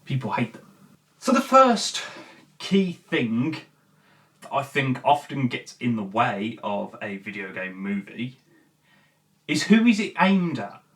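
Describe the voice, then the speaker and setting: A person presenting a video essay as host, in an informal, opinionated and halting way with emphatic stresses, chatting straight to viewers. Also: mid-range voice, very animated, fairly bright tone, recorded from a distance. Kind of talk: video essay commentary, casual chat to viewers.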